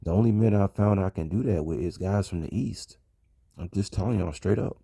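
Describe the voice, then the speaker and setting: A man's voice: low-pitched, brisk, vocal fry, dark tone, breathy edge, no room sound, clean studio sound. An American man speaking in a casual personal monologue to his audience, rambling and repeating phrases for emphasis. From United States. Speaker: vlogger.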